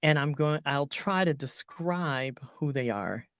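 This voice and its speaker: A woman voiceover artist talking through her business in an interview, halting often and correcting herself mid-sentence. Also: low-pitched, expressive, dry recording. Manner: halting, many restarts and self-corrections. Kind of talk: conversational business coaching interview.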